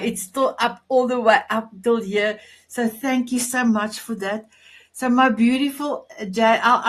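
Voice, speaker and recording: A woman, an Afrikaans speaker, hosting a casual online chat show, effusive and affectionate, often restarting her sentences. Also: on the high side. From South Africa. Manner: effusive, repeats "my beautiful", stumbling restarts. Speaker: host.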